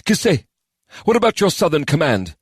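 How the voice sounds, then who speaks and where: A man voicing a stern, high-born male elf war leader who barks terse commands. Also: huge pitch swings, normal speed, breathy edge, no room sound, studio quality, heavily acted. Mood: commanding.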